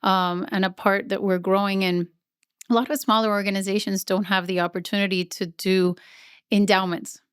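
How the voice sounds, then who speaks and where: A middle-aged woman in casual interview conversation, chatty and self-deprecating. Her voice is smooth and resonant, sitting at an ordinary pitch. The sound is dry and close. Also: little pitch movement, normal speed, no room sound. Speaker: nonprofit CEO, guest.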